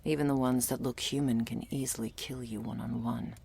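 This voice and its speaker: A woman playing a gruff, world-weary female monster hunter in an ASMR audio roleplay, curt, sardonic and bossy as she addresses the listener. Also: steady tone, fairly quick, warm tone, a little hiss or hum. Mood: grim, instructive. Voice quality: low, husky, breathy with gravelly creak.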